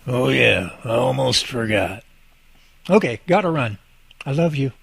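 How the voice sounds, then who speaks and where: A man's voice: medium pitch, lively intonation, a little slow, creaky, a bit bright, husky, dry recording, heavily acted. A man acting a cheerful, devout working husband in an amateur radio drama, with a folksy, good-humored manner. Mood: playful.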